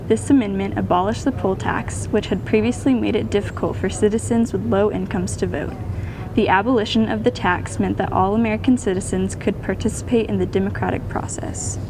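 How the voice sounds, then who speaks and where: Female newsreel narrator, mid-pitched, scripted read, recorded with clear background noise.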